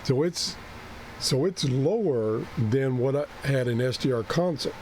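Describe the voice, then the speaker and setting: A man who is losing his voice and keeps clearing his throat talks through a radio setup, thinking aloud and correcting himself as he goes. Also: mid-range voice, animated, measured pace, darkish tone, slight background noise, poor audio. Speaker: ham radio video host. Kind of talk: unscripted screen-share walkthrough.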